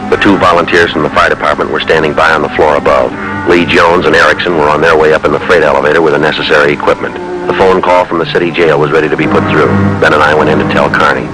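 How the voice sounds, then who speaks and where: An actor playing a terse, unflappable American police detective who also narrates in a clipped, deadpan way in an old radio drama. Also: male voice, rumbling low, quick pace, music in the background, poor audio. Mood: matter-of-fact. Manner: clipped, deadpan, matter-of-fact.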